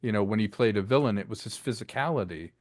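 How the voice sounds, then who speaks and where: A man's voice: fairly low pitch, fast, no echo, studio quality. A man who directed an audio drama, chatting in an interview and acting out lines as he explains them. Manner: animated, acts out lines while explaining. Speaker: director, guest. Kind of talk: casual interview talk.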